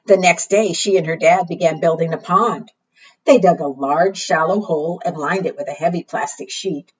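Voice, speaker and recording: A woman leading a preschool storytime, reading aloud and talking to young children in an expressive, deliberate, teacherly way. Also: fairly low pitch, husky. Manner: asks the young listeners frequent simple questions. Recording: muffled, distant microphone.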